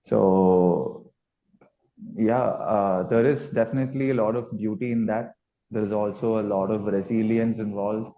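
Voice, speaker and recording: A man's voice: fairly low pitch, fairly even intonation, unhurried. A man with an Indian accent, a musician giving a casual, reflective interview. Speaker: band musician being interviewed. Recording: dull lo-fi sound.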